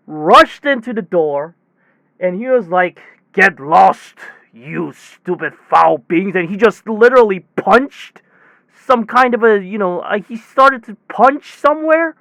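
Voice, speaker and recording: A man playing a weary, exasperated technician who tells his bizarre tale in a rambling, halting, conversational audio drama monologue. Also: sits high, no room sound, full character voice. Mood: agitated, incredulous. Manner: rambling, halting, animated storytelling with sighs. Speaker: voice actor in a self-written audio drama.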